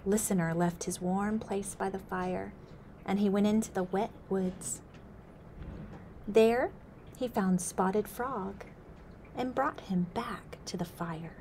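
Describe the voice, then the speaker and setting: A woman in her thirties reads a storybook aloud at a slow, deliberate pace, often sinking into hushed whispers. Her voice sits in a middle range, caught close on a camera mic in an indoor room. A faint hiss runs underneath. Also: mid-range voice. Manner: slow, expressive, dips to whispers. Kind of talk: reading a picture book aloud.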